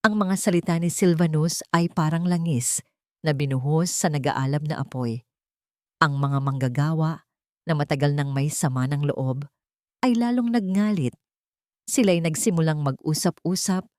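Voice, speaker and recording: A woman's voice: deep voice, normal speed, darkish tone, dry recording, studio quality. A woman narrating a scripted dramatic story.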